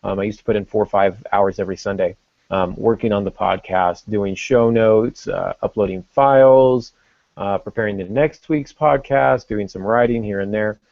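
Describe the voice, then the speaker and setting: A middle-aged man hosting a podcast, talking casually in a halting, reflective way and correcting himself as he goes. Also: fairly low pitch, creaky, no echo, lo-fi sound. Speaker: podcast host.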